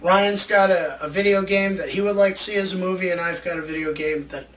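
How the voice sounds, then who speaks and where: A man's voice: high-pitched, steady tone, brisk, fairly bright tone. A man hosting a casual chat show about movies, rambling along with enthusiasm. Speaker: show host. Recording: distant mic, faint background noise.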